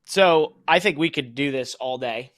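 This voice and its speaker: A man's voice: fairly high pitch, a little slow, a bit bright. A man hosting a casual live motorsport interview with informal, conversational questions. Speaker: host of a motorsport show.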